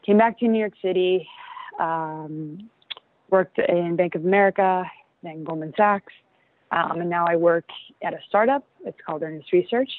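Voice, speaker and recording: A young woman in her twenties talks in a slightly low, hesitant voice, full of ums and soft laughs. Her voice comes through a narrow, tinny phone-like line with a dry, close sound. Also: normal speed, dry recording. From Venezuela. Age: 20s. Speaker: interviewee. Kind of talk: casual interview answers.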